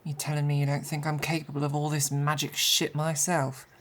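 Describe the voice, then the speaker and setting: A cocky bandit woman voiced by an actress in a fantasy audio drama. She has a low voice and talks with crude, mocking defiance, sneering at whoever she addresses. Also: flat delivery, brisk, close to an everyday voice. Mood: defiant. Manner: sneering, taunting. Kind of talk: fantasy audio roleplay acting.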